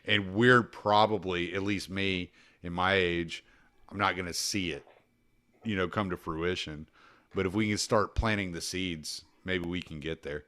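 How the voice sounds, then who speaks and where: A man's voice: low-pitched, fairly even intonation, measured pace, bright, forceful tone. A man hosting a podcast, talking casually and bluntly over a remote call, with a self-deprecating manner and some swearing. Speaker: podcast host.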